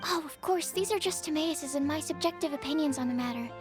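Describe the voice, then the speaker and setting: A woman playing a shy, polite, bookish young alchemist in an anime video game, gently hesitant and apologetic as she explains. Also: high voice, fast, close to an everyday voice. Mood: earnest. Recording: game music behind.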